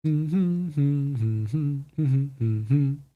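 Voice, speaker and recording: A man's voice: on the high side, expressive, very slow, narrow, tinny audio. A man from the United States commenting live on his own Go game, playful and teasing toward his opponent. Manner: playful trash talk. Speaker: streamer and Go teacher.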